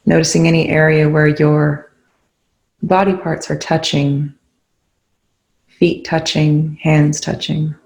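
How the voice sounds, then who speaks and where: A calm, soothing voice leading a guided meditation in gently spaced phrases. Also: mid-range voice, slow pace, creaky, pauses often. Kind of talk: guided meditation, soft instruction. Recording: roomy, echoing indoor sound.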